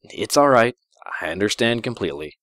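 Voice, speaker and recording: Guy doing a gentle royal pony lady for a story, warm n measured, voice lifted up higher. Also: sing-song, no room sound, clean studio sound. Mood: reassuring.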